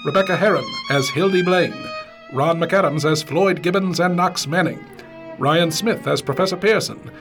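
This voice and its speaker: A male announcer reads out scripted credits for a radio drama. His voice is a bit high for a man, and light music plays underneath.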